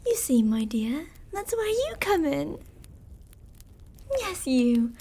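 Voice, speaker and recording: A woman's voice: high-pitched, sing-song, slow pace, mellow, heavily acted. A woman playing a sadistic, possessive, seductive vampire commander in an ASMR roleplay, speaking theatrically and tauntingly with terms of endearment. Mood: silky, possessive. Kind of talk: ASMR roleplay, dramatic monologue.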